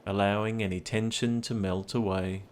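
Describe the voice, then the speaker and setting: A man narrating a guided meditation in a gentle, soothing, unhurried manner. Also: on the low side. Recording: clear steady background ambience noise, ocean sounds. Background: ocean sounds.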